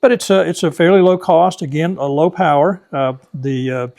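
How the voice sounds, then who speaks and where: Older man explaining, slightly high voice. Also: moderate pace, creaky, a little mellow. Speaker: knowledgeable guest explainer. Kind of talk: casual explanatory talk.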